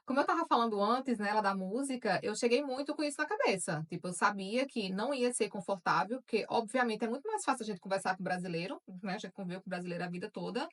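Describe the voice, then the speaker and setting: A Brazilian woman co-hosting a casual podcast, talking in a quick, emphatic and agreeable way. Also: mid-range voice, animated. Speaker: podcast co-host.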